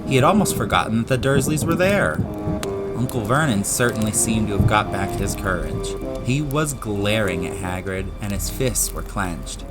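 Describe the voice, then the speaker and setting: Male audiobook narrator, lowish pitch, scripted storytelling over a clear music bed. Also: lively intonation, moderate pace.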